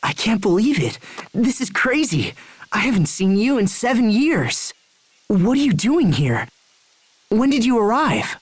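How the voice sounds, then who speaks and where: A woman's voice: on the high side, sing-song, unhurried, husky, no room sound, full character voice. A woman playing an earnest, impulsive young male knight in a video game, speaking directly and emotionally. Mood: surprised, delighted. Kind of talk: English video game voice acting.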